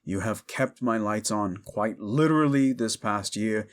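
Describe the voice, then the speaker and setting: A man's voice: lowish voice, measured pace. A man in his thirties chatting informally to his listeners as the host of an audio drama channel, in a rambling, unscripted way. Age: 30s. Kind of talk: casual rambling outro to listeners.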